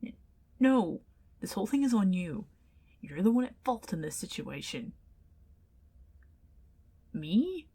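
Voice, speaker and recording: A woman's voice: medium pitch, big pitch swings, slow, long pauses, mellow, breathy edge, exaggerated voice. A woman playing an indignant, flustered tsundere ghost in an ASMR roleplay, stammering and defensive as she protests. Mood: huffy, protesting.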